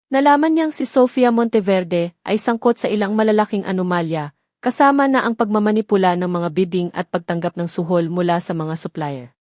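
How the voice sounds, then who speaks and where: A woman's voice: mid-range voice, darkish tone, no echo, studio quality. A woman with a Filipino accent reading a scripted story as its narrator. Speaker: narrator of audio drama stories.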